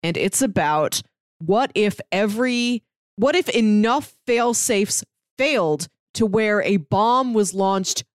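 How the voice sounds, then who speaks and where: American woman podcast host, chatty rambling storyteller, mid pitch, really dry sound. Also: expressive, takes it slowly, no echo. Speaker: podcast co-host discussing comics and books. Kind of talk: casual chat, retelling stories.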